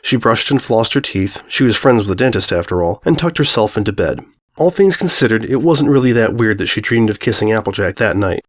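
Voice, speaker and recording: A man's voice: on the low side, lively intonation, brisk. A man reading a scripted story aloud as its narrator.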